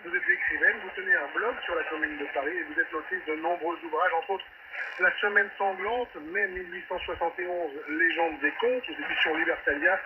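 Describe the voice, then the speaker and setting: A man's voice: on the high side, steady tone, fairly quick. A man hosting a radio news debate, presenting and putting questions to guests. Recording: noisy muffled shortwave radio reception. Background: loud radio static and hiss.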